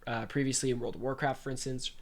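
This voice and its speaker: A man's voice: mid-range voice, fast talker. A young man with a congested voice, hosting a casual podcast and reading gaming news aloud. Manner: animated, reads news aloud. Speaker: podcast host.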